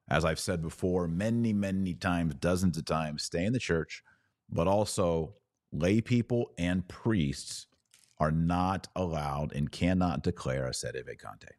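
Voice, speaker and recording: A man's voice: low voice, darkish tone, no room sound, studio quality. A man hosting a live religious commentary show, speaking earnestly and emphatically and repeating phrases for stress. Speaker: podcast host answering live viewer comments.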